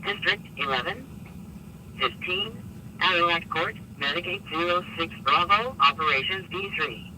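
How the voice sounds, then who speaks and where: A man's voice: high-pitched, moderate pace, sharp tone, poor audio. A man reading a clipped dispatch announcement over a radio scanner. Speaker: emergency dispatcher. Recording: scanner radio with faint vehicle noise.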